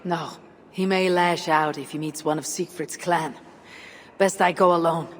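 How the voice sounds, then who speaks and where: An actor playing a bold, steady Viking warrior leader in a video game, with measured, formal phrasing. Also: male voice, a little high. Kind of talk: dramatic video game acting. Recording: noisy game ambience behind the voice.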